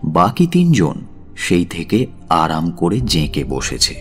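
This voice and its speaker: Low male narrator telling a dramatic story, very expressive, soft music behind. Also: slow.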